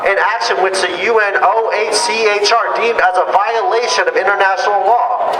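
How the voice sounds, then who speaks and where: An echoey, reverberant recording of a woman activist speaking at an outdoor rally. She reads a prepared political speech in a measured, emphatic way, with clear noise around her. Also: mid-range voice.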